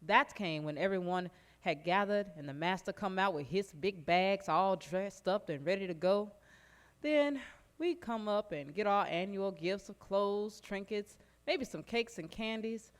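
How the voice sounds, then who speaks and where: An actress performs a lively plantation servant in a theatrical folk monologue. The telling is sly, fond and animated, with folksy turns of phrase and a medium-pitched voice. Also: female voice, a little slow, natural voice. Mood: fond, wry. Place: live stage performance in a recreation hall.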